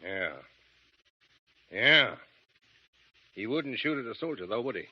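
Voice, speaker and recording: An actor playing a sly, crooked gun-runner with a low, smooth, scheming delivery in a 1930s radio drama. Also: male voice, animated, takes it slowly. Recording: dull 1930s radio broadcast.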